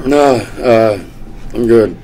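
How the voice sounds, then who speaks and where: An actor at a table read playing a terse, guilt-laden young man in a subdued, halting way. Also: mid-range voice, warm tone, slight background noise, poor audio. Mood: withdrawn. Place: meeting room, long tables, indoors.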